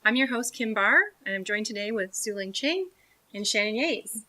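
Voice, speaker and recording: Bright-voiced woman hosting. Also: mid-range voice, moderate pace. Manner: chatty, laughing easily, many fillers. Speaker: talk show host. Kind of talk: casual panel chat.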